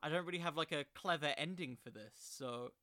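A man's voice: fairly high pitch, brisk, bright, forceful tone, no echo, clean studio sound. A man hosting a podcast, speaking in a theatrical, hammy storytelling manner. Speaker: podcast host. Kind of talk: theatrical fantasy storytelling about pro wrestling, then casual rambling.

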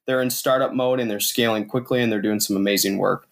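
A man's voice: lowish voice, fairly even intonation, quick pace, vocal fry. A man hosting a podcast in a casual, effusive, enthusiastic way. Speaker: podcast host. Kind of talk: podcast interview, casual introduction.

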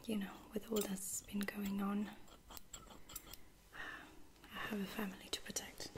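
A woman playing a caring, apologetic space-soldier lieutenant in a whispered ASMR roleplay, speaking hesitantly and reassuringly in a breathy whisper. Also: medium pitch, completely flat delivery, natural voice. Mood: soft, caring. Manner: hesitant, gentle, reassuring, with soft-spoken pauses.

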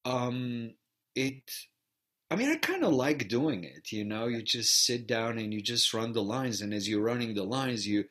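A man's voice: medium pitch, expressive, measured pace, a bit bright. A middle-aged man from Bulgaria, an actor and theater teacher giving a reflective interview, often repeating words as he restarts. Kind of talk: interview conversation.

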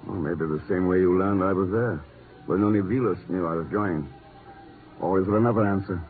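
Low-voiced, cool secret agent played by a man. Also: a little fast, faint music behind, lightly acted. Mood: calm, challenging. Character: cool, shrewd male secret agent investigating a murder. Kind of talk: 1950s radio drama acting. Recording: noisy, muffled 1950s radio recording.

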